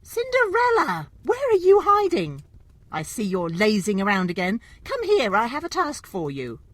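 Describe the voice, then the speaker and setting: A woman's voice: on the high side, huge pitch swings, moderate pace, a bit bright, no echo, heavily acted. A woman acting a vain, bossy, cruel female villain in a children's storybook, with a haughty, scolding manner. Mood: haughty, taunting. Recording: faint music behind the voice.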